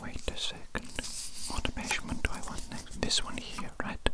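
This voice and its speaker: Woman doing asmr doctor roleplay, all breathy whisper right up on the mic, soothing, repeats herself softly. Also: normal speed. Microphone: close ASMR microphone.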